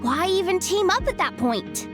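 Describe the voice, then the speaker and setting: A woman's voice: very high voice, expressive, moderate pace, over music. A woman voicing an eager young female assistant who pesters her boss in a video game. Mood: pleading. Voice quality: whiny, earnest.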